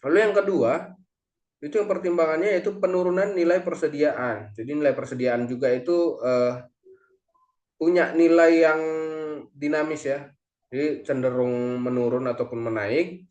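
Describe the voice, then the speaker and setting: A man explains material step by step as a presenter, in a halting way with self-corrections. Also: a little high, a little mellow. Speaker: accounting lecturer or presenter. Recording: echoey, reverberant room sound.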